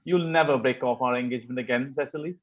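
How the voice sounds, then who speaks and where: A man reading the part of a smitten, ardent young Victorian gentleman in an amateur table read of a stage comedy, wooing earnestly. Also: medium pitch, fast talker, muffled recording, a little hiss or hum, voice pushed down, close to an everyday voice. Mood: adoring.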